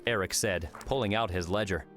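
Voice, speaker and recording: A man's voice: sits low, a little fast. A man reading scripted audiobook narration as the narrator of a novel. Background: soft music.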